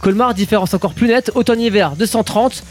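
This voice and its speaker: A chatty man with a notably high speaking voice co-hosts a casual morning radio show. He walks through numbers and studies with lots of little asides. Faint music plays under his voice. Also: fast talker. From Alsace, France. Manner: chatty, explains figures with asides.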